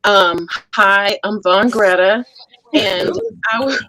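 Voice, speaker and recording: A woman hosting a lively, casual group chat, teasing and exclamatory as she talks. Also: mid-range voice, slow, low-quality recording. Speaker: talk show host and stage play actress.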